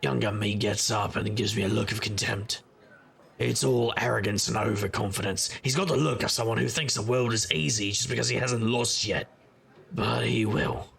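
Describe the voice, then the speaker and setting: An actor playing a gruff, world-weary middle-aged private investigator in an audio drama, narrating with wry noir weariness in a gravelly voice. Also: male voice, lowish voice, steady tone, normal speed, a bit bright. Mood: wistful, wry.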